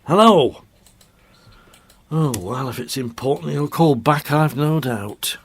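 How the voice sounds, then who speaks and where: An actor playing a tired, edgy local radio traffic presenter in an audio drama, plain-spoken and colloquial. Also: male voice, on the high side, lively intonation, slow. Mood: weary, impatient.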